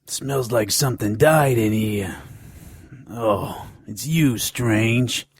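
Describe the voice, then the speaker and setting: An actor playing a lecherous, sardonic gnome informant in an audio drama, leering and wisecracking in a gravelly voice. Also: male voice, fairly low pitch, slow, exaggerated voice. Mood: leering, sarcastic.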